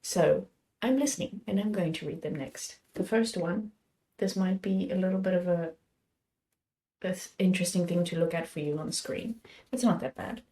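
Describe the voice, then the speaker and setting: Young female book vlogger, a bit hoarse and under the weather, mellow voice, loose rambling delivery. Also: fairly low pitch, moderate pace, low-quality recording. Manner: rambling, chatty, self-correcting. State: slightly hoarse, a little sick. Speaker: book channel host. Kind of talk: casual chat about books.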